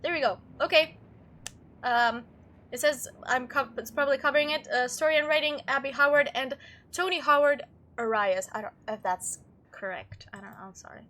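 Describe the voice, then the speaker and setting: A woman's voice: sits high, moderate pace, fairly bright tone, slight background noise. A woman giving casual, gushing let's-play commentary as the host of a gaming channel. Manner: gushing, enthusiastic. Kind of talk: casual let's-play commentary, reading game text aloud. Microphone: close desktop microphone. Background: faint game music.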